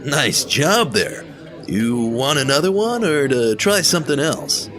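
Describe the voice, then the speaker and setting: An actor playing a friendly, talkative young bartender with a laid-back manner, in an audio drama with music underneath. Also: male voice, mid-range voice, very animated, unhurried, fairly bright tone. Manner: laid-back, talkative, says bro a lot. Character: friendly chatty male bartender who calls everyone bro.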